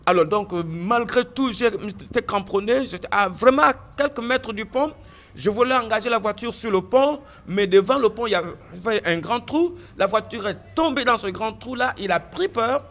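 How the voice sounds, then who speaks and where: A man recounts his own story for an interviewer with an animated delivery. His voice sits high and moves widely in pitch. He often stammers through restarts and repeats phrases for emphasis. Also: moderate pace. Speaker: guest giving a testimony.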